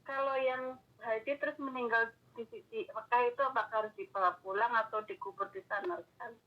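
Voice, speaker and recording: A woman's voice: on the high side, fairly even intonation, brisk. A woman respectfully putting questions to a religious teacher in a polite, deferential way. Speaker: audience member asking the teacher questions. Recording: dull telephone-like online call audio.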